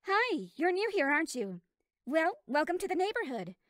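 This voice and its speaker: A woman's voice: very high voice, sing-song, normal speed, fairly bright tone, pitched above the performer's own voice, heavily acted. A woman voicing a sweet, earnest young cartoon girl with a girlish manner, recorded in a studio. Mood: friendly, eager. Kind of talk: character voice reel, cartoon acting. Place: treated home studio. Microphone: studio condenser microphone.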